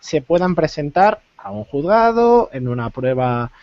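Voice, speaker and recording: A man on a panel explaining a topic slowly, searching for his words. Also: on the high side, sing-song, dry recording, lo-fi sound. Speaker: guest panelist. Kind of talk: explaining on a video call panel.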